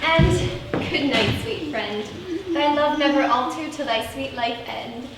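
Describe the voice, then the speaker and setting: A woman's voice: high-pitched, takes it slowly. A woman playing an earnest young lovelorn maiden in theatrical Shakespearean verse. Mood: tender, sleepy. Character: anxious young lovelorn maiden lost in a wood. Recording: very echoey, distant mic, faint noise.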